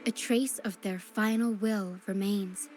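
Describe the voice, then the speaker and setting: A woman playing a caring, solemn young woman in a video game, speaking in a measured and earnest way. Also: medium pitch, steady tone, natural voice. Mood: solemn, explanatory. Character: caring young woman guiding through a ghostly mist, solemn and gentle. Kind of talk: scripted video game acting. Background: game music.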